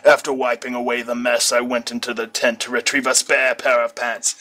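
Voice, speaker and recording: Guy reading fan fiction out loud, bright forceful voice, trips on words and fixes them. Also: medium pitch, flat delivery, brisk. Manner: stumbles over words and corrects himself. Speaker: amateur story reader.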